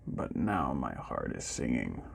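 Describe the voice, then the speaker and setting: A man voicing a gentle, sad old robot in a storybook, speaking slowly and softly. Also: very deep voice, very animated, creaky, slightly rough, voice pushed down, exaggerated voice. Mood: gentle, tender. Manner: slow, gentle, downcast.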